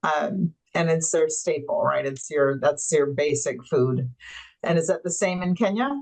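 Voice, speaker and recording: Enthusiastic low-voiced woman host. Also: unhurried, gravelly, a little mellow, poor audio. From Tanzania. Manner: enthusiastic, explains things for viewers. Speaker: webinar host. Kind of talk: casual interview chat.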